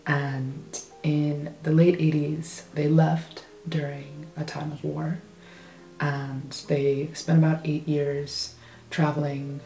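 Low, gravelly New woman. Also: mellow, roomy sound, poor audio. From United States. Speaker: interviewee. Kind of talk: casual interview answers.

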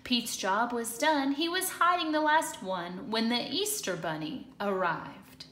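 A woman reading a picture book aloud to children in an expressive, deliberate way, recorded in an echoey room. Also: a little high, slight background noise. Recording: echoey hard-floored room.

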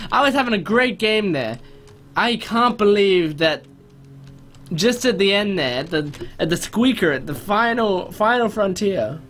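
A young man giving casual, excitable gameplay commentary in an animated way, often interrupting himself. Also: fairly high pitch, a little hiss or hum. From born in New Zealand, spent first three years there. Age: young adult. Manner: animated, self-interrupting, prone to exclamations. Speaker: gaming video host. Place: small room at home, indoors.

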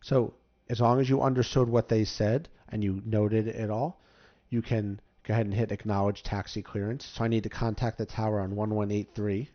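A man with a fairly low voice walks through a game as tutorial host, casual and instructional. The recording is a little dull. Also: little pitch movement, moderate pace, few pauses. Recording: slightly dull, muffled microphone.

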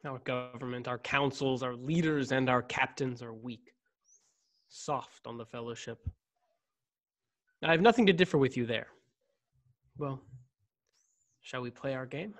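A man's voice: medium pitch, a bit bright. A man in his thirties playing a brooding, stiffly formal clone in a science-fiction radio play. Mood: serious. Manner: measured, stiffly formal diction. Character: brooding, formal young man, secret clone of noble family. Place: home room on video call. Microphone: desk condenser microphone, headset.

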